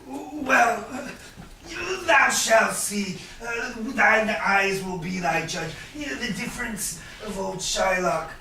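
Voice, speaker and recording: A man playing a suspicious, grumbling old moneylender father in a staged Shakespeare reading, with a halting delivery. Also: sits high, sharp tone, exaggerated voice. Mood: scolding, impatient. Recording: echoey room from far off, background noise.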